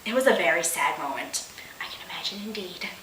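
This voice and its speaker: A woman's voice: lowish voice, lively intonation, a little fast, a bit bright, reverberant, slight background noise, low-quality recording. A woman hosting a comic read-aloud of amateur fan fiction, giggly, mock-dramatic and incredulous in her delivery.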